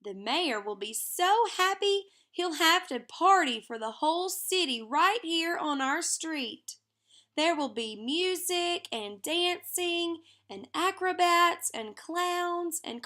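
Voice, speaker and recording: A woman voicing an eager, imaginative little girl from a children's storybook, gushing with excitement. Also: very high voice, pitched above the performer's own voice, heavily acted. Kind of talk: children's storybook character reading.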